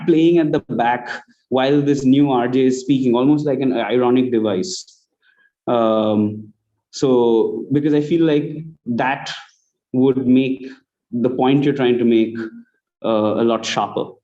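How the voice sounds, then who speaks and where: A man's voice: mid-range voice, warm tone. A man with an Indian accent giving conversational spoken feedback as a judge on an online call. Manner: conversational, acts out example lines. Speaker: judge giving feedback on student audio plays. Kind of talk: spoken critique on an online event. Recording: dull, lo-fi online call audio.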